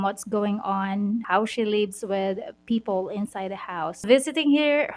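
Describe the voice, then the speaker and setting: A woman from the Philippines with a Filipino accent, Bisaya her first language, reading a viewer's story aloud and giving advice, slow and a little halting. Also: medium pitch. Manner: slow, careful, reads a bit haltingly. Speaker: vlogger.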